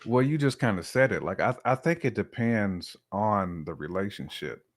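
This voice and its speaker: Low-voiced man chatting casually. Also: animated, normal speed. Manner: informal, colloquial speech with slang. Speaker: podcast guest. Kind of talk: casual conversational opinion-sharing.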